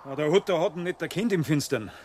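Actor as a flustered, fast-talking Bavarian village constable. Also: male voice, on the high side, darkish tone, a little hiss or hum, lightly acted. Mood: flustered, urgent. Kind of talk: German radio crime drama acting.

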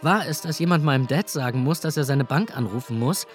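A man's voice: mid-range voice, fairly quick, faint music behind, natural voice. A young actor playing an anxious young man in a radio drama, narrating in terse lines and pleading in scenes. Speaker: first-person narrator.

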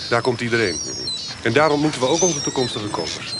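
A man's voice: mid-range voice, animated, a little fast, natural voice. An actor playing a chatty, rascally male swindler in a radio drama. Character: rascally tavern-loving swindler, admiring and chatty. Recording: loud background noise with birds.

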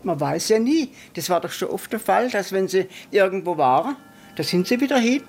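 Older female interviewee, medium pitch, colloquial, plain-spoken recollection, guitar music underneath. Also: expressive, moderate pace, darkish tone. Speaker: interviewed witness.

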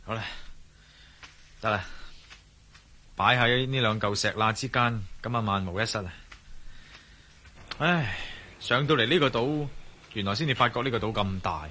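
An actor playing a resolute, self-assured ex-soldier adventurer who thinks aloud in a radio drama. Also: male voice, on the low side, normal speed, pauses often, pushed and bright. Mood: self-talking, relieved. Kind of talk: radio drama dialogue and spoken-thought monologue. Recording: radio drama with noisy ambience.

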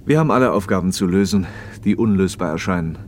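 An actor playing a paternal, probing factory manager in a radio play, speaking in a measured, slightly didactic way. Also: male voice, low voice, a little mellow, a little hiss or hum, natural voice. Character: socialist factory manager, paternal, probing, talkative male official. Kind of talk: naturalistic German radio play dialogue.

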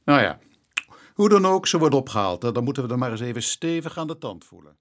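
An actor playing an earnest police inspector in a Dutch radio drama, formal and careful, polite but pressing. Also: male voice, medium pitch, sing-song, a little fast, slight background noise, close to an everyday voice. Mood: determined.

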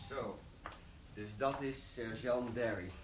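An actor playing a polite, deferential assistant in a radio play. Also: male voice, medium pitch, slow, roomy sound, recorded from a distance, slight background noise, lightly acted. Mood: wry.

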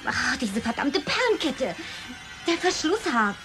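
A woman's voice: high-pitched, big pitch swings, measured pace, over music. An actress playing an anxious, pleading, hesitant woman in a German science-fiction radio play. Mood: flustered, urgent. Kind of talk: German radio play acting, dramatic dialogue.

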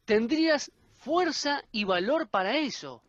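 A woman's voice: high voice, expressive, a little slow, no echo, muffled recording. A woman playing an imposing, cold and commanding male judge in a radio play. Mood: cold menace.

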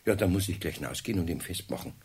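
An older man with a Bavarian accent playing a gruff but good-natured master carpenter in a children's radio play, chuckling and flustered. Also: low-pitched, animated, racing, darkish tone, full character voice. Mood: muttering.

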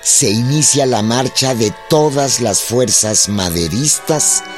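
Male narrator, unhurried and solemn radio drama read, music underneath. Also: mid-range voice.

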